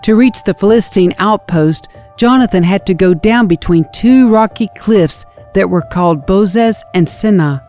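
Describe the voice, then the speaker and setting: A woman narrates scripture from a script, telling it as a story. Her voice sits at a middle pitch for a woman, and music plays behind it. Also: normal speed, few pauses, warm tone. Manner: storytelling, dramatizes quoted speech. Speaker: narrator reading scripture aloud.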